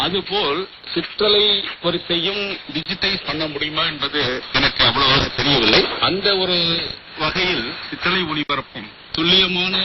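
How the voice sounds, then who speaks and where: An older man with an Indian Tamil accent speaks in a fairly high voice. He answers formally and in measured, literary phrases, heard through a dull, very noisy shortwave radio broadcast. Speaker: retired radio official, interviewee.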